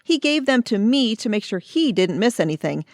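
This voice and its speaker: A woman's voice: a little high, very animated, normal speed, a little mellow, no echo, clean studio sound. A woman playing a scornful, exasperated older office colleague in a comedy audio drama, delivering sarcastic insults. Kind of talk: community audio theatre comedy acting.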